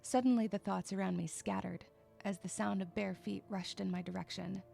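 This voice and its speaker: A woman's voice: medium pitch, steady tone, normal speed, creaky, mellow, faint music behind. A woman playing a troubled, withdrawn young loner who narrates her own story in an introspective, emotive way. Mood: wary, irritated. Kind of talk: dramatic first-person story narration.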